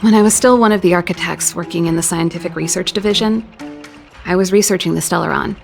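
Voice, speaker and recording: An actress voicing a wry, candid young exiled scientist in a video game, talking in a reflective, articulate way. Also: female voice, lowish voice, fast talker, creaky. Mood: explanatory. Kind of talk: scripted dramatic game dialogue. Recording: loud background music under the voice. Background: game cutscene music.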